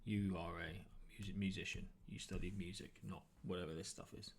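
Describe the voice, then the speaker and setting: Low-voiced man running a tabletop game. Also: steady tone, slight background noise. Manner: conversational, improvised, halting descriptions. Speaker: game master. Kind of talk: narrating a tabletop role-playing game.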